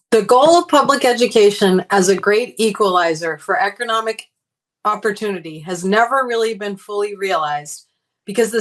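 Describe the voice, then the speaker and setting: A woman's voice: medium pitch, fairly bright tone. Woman giving an earnest prepared speech. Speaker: union candidate, teachers' organizer.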